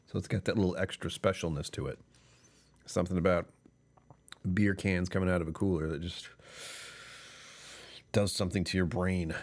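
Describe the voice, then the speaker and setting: A man hosting a casual live stream, rambling and chuckling as he chats with viewers; he sounds tired and his voice is lower than usual. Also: darkish tone. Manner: rambling, chuckling, talking to chat. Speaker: livestream host.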